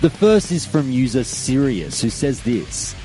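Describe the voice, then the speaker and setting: A man hosting a podcast and giving his opinion in a measured, deliberate monologue with firm emphasis. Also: mid-range voice, very animated, mellow, soft background music, poor audio. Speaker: podcast host.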